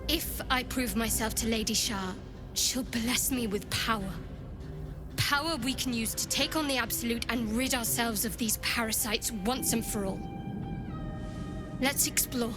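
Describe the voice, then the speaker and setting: A woman's voice: on the high side, unhurried, over music, natural voice. An actress playing a guarded, devout young female cleric in a video game, solemn and determined. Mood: reverent, determined. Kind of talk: dramatic video game acting.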